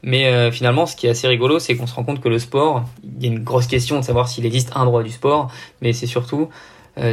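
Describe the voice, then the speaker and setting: Young Frenchman with a neutral accent, explaining hesitantly. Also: medium pitch. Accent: neutral French, no regional accent. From south of France. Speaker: podcast guest, amateur triathlete. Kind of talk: casual interview conversation.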